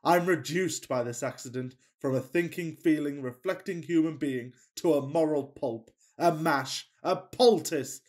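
A man playing a fussy, passionate Victorian gentleman in a farcical radio play, with pompous, declamatory delivery. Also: fairly high pitch, a little slow, few pauses, close to an everyday voice. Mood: indignant. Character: melodramatic, fussy Victorian gentleman suitor, self-pitying and passionate.

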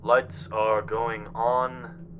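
A man's voice: lowish voice, level tone, drawn-out words, narrow, tinny audio. A man playing a wary soldier reporting over a military radio in an audio drama. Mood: wary, businesslike. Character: wary Canadian soldier exploring a cave by radio. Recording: faint radio static and noise.

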